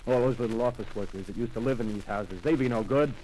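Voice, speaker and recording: Man doing a rough scheming soldier, streetwise slang, old-time radio drama, faint hiss. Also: mid-range voice, quick pace, mellow, close to an everyday voice, rough recording. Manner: coarse streetwise slang, insistent, rambling. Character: rough, power-hungry male soldier scheming survival. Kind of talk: 1930s radio drama acting.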